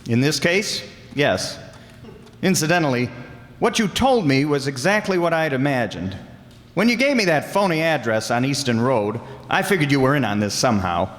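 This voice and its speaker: A man's voice: mid-range voice, sing-song, moderate pace, a bit bright. Man acting a shrewd radio detective in a reverberant hall. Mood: probing, wry. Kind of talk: old-time radio detective drama acting. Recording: live stage performance in reverberant hall.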